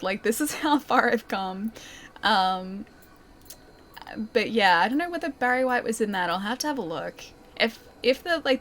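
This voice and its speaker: A woman's voice: mid-range voice, fairly bright tone, a little hiss or hum. A woman livestreamer chatting casually while playing a game, reading viewer comments aloud and giggling often. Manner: chatty and giggly, with many fillers like "like" and "dude".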